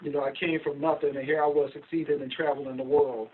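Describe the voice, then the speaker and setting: A man's voice: on the high side, husky. Middle-aged guy from philly telling his story, tinny phone-like sound, mic kinda far off. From Philadelphia, US. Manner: steady, measured storytelling with frequent ums. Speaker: guest, investigation company owner. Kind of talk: casual interview over a call.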